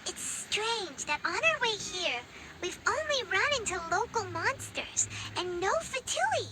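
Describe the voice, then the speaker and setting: A woman voicing a small, childlike fairy companion in a video game, squeaky, excitable and curious. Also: unhurried, noisy background, exaggerated voice. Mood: puzzled. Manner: refers to self in third person, exclamatory. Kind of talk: video game character acting. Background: loud game music.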